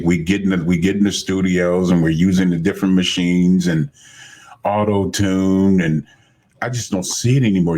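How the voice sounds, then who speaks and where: A man's voice: low voice, darkish tone. A man hosting a podcast interview, admiring and searching for his words as he asks questions. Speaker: podcast host.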